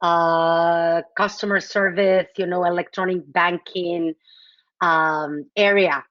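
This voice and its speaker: A woman in her forties from Mexico, a guest chatting casually in an interview, warm and talkative with many fillers and frequent laughs. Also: lowish voice, fairly even intonation, slow, no echo, dull audio. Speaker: guest, nonprofit executive director.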